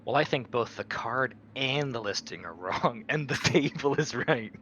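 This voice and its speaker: A man's voice: medium pitch, animated, moderate pace, fairly bright tone, dull audio, a little hiss or hum. A man chatting casually as a player in a tabletop game. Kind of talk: casual chat.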